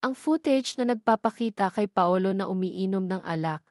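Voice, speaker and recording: A woman's voice: medium pitch, lively intonation, moderate pace, darkish tone, no room sound, clean studio sound. A woman with a Filipino accent reading scripted narration for a romantic audio drama. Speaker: story narrator.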